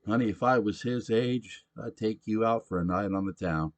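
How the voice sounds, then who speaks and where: Drunk, pushy middle-aged bar regular, a man reading the part, loud and gruff in a storybook reading. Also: fairly low pitch, moderate pace, pitched above the performer's own voice, close to an everyday voice. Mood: belligerent.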